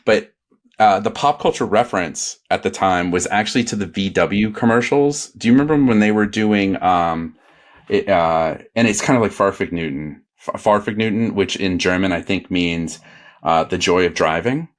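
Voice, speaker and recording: Man, very deep voice, podcast panelist, hesitant, rambling, lots of ums. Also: normal speed. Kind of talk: casual comedy podcast chat.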